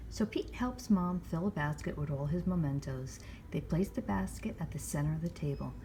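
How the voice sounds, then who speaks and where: A woman hosting storytime, reading a picture book aloud to young children with measured, expressive phrasing. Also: sits low, a little hiss or hum. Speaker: library storytime host.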